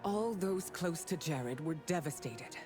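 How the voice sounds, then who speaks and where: A low-voiced regal warrior empress from a fighting game. She is stern and formal, with a wide, sweeping delivery, over prominent music. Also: lively intonation. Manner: imperious, formal, measured. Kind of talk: video game character dialogue. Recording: loud music behind the dialogue.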